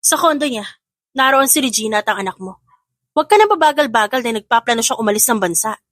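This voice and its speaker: A woman's voice: fairly high pitch, lively intonation, fast, a bit bright, no room sound. A woman playing a protective, frank best friend in a Tagalog audio drama. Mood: stern, protective. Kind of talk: scripted audio drama acting.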